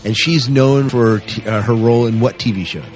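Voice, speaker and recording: A man's voice: mid-range voice, steady tone, moderate pace, darkish tone. A man hosting a podcast and interviewing in a casual, chatty style.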